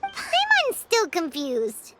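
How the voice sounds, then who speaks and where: A woman voicing a tiny, excitable, childlike fairy companion in a video game, with a squeaky voice. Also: slow pace, very noisy, full character voice. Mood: confused, surprised. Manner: squeaky, refers to herself in third person. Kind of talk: scripted video game voice acting. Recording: loud game music behind.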